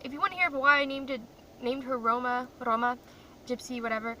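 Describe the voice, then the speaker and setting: A young woman from Croatia vlogs from her parked car, rambling freely and laughing at herself. Her voice is bright and a little high. The phone sits a bit away from her, with a faint hum in the background. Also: a little fast. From grew up in Croatia. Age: young adult. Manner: chatty, rambling, self-deprecating. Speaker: vlogger. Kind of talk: casual chat to camera. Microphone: phone camera in a car. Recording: distant mic with faint car noise.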